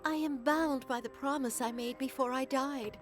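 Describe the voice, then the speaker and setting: A woman plays a ghostly young girl in a video game. Her voice is high and solemn, with measured, sorrowful gravity, over faint music. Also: fairly even intonation, close to an everyday voice. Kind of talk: video game cutscene acting.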